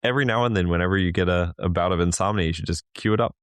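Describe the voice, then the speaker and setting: A man's voice: low voice, very fast, smooth, no echo, clean studio sound. A man who is a regular podcast guest, chatting in a playful, teasing way, laughing often and restarting his words. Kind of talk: casual chat.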